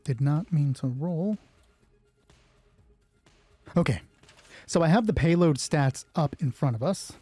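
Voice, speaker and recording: Mellow-voiced man running a solo game. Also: fairly high pitch, expressive, slow, long pauses, slight background noise. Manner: thinks aloud, swears casually. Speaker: solo roleplay game master. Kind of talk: casual improvised game narration.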